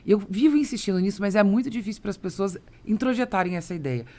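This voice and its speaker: A woman's voice: mid-range voice, quick pace, warm tone. A Brazilian woman hosting a business podcast, explaining things conversationally and with emphasis. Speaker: podcast host.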